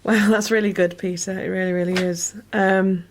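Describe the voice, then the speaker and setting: A woman's voice: mid-range voice, little pitch movement, moderate pace. A woman hosting a conversational radio interview and chatting with her guest.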